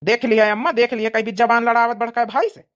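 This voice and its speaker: A woman's voice: a little high, steady tone, quick pace, lightly acted. A woman voicing an indignant grown village son in a comedy cartoon, scolding and arguing in an Awadhi accent. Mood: angry. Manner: scolding, argumentative. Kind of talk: comedy cartoon family quarrel.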